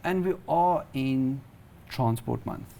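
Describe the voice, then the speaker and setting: A man's voice: medium pitch, takes it slowly, gravelly, mellow, slight background noise. A man with a South African accent presenting a broadcast interview and putting questions in a formal style.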